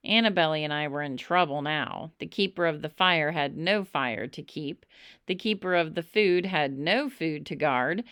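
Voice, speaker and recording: A woman reading a children's story aloud in an expressive narrating style, with emphatic, dramatic phrasing. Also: fairly low pitch, clear, resonant tone, dry recording, clean studio sound. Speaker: storybook reader.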